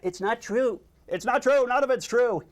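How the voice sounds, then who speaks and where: A man voicing a theatrical, irritable cartoon squid, loud and emphatic in delivery. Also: on the high side, expressive, normal speed, close to an everyday voice. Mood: dismissive. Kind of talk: acted cartoon storyboard pitch.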